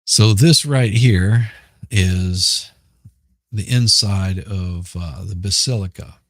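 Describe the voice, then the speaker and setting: A middle-aged man chatting casually as a podcast host, hoarse and rambling, often repeating words and restarting. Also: deep voice, slow, a bit bright, dry recording, studio quality. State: hoarse from smoke. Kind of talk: casual livestream chat.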